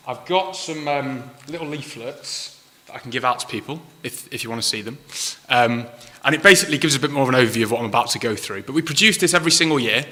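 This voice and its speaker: A man's voice: mid-range voice, bright, forceful tone. A young man from Manchester with a Mancunian accent, giving an informal talk as a council climate officer, friendly and full of ums. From Manchester, England. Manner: friendly, lots of ums and kinda. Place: large hall at a place of worship. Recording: reverberant hall.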